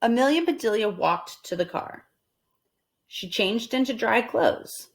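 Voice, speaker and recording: A woman reading a children's storybook aloud at storytime, expressive and deliberate in her phrasing. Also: a little high. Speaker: storytime reader.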